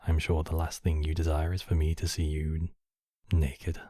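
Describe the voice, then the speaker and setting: A man voicing a courtly, possessive demon king, menacing yet tenderly protective, in slow, intimate ASMR roleplay with formal, measured phrasing. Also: rumbling low, little pitch movement, mellow, no room sound, studio quality. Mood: teasing, desirous. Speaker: voice actor in a roleplay. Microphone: close microphone, dry.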